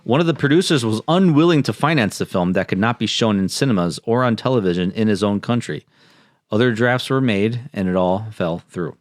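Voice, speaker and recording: A man's voice: fairly low pitch, fairly quick, no echo, clean studio sound. A man hosting a podcast, moving between casual chat and reading out his notes. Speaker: podcast host.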